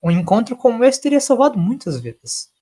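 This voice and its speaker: A man's voice: extremely high pitch, very animated, a little fast, warm tone, voice pushed up, full character voice. A man voicing an idealistic, reasonable time traveler in an audio story, speaking in an earnest, persuasive way. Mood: earnest, reflective.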